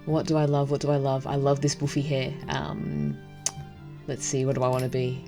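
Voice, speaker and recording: A woman's voice: very deep voice, moderate pace, music in the background. A woman hosting a gaming video, casually commenting and reading the story text aloud. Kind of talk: casual game commentary and read-aloud narration.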